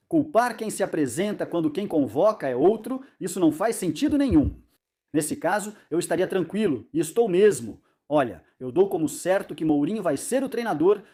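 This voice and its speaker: Lively high-voiced man, emphatic pundit. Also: breathy edge. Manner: confident, emphatic predictions. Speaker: football pundit. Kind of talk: casual debate chat.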